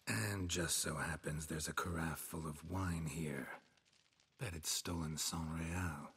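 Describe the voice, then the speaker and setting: A man voicing a blunt, weary monster hunter in a video game, speaking in terse, deadpan lines. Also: rumbling low, slow pace, slightly rough, exaggerated voice. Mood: accusing, matter-of-fact. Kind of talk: cinematic video game dialogue.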